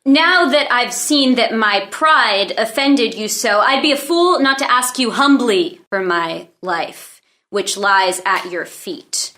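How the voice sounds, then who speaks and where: A woman's voice: fairly high pitch, slow pace, pushed and bright. A woman playing a proud young noblewoman in disguise, formal and earnest in a play read-through. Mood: humble pleading.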